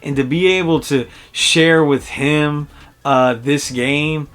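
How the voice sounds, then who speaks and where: A man in his early forties giving a casual, conversational video game review in a halting, reflective way. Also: on the high side, fairly even intonation, fairly bright tone. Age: early 40s.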